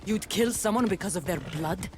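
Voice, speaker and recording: An actress playing a distrustful, defiant ancient Greek mercenary in a video game, with blunt and guarded delivery. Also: female voice, lowish voice, animated, normal speed, noisy background, natural voice. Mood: scornful, angry. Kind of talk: video game dramatic acting. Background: music.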